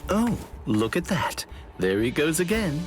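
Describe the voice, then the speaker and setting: A man's voice: fairly high pitch, very animated, measured pace. An actor playing a sly, playful man in an anime game, teasing and drawling with mock innocence and theatrical curiosity. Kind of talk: anime video game voice acting. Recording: music behind. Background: background music.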